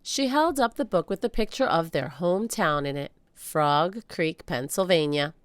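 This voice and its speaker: A woman reading a children's book aloud as an animated storyteller, with dramatic emphasis. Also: lowish voice, normal speed, clean tone, dry recording. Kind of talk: expressive read-aloud storytelling.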